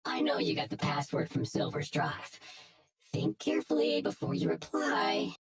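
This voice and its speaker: A woman playing a menacing, smug hacker in a game cutscene, taunting deliberately and theatrically, with music behind her. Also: mid-range voice, sing-song, slightly rough, full character voice. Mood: smug threat. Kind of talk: dramatic game cutscene acting.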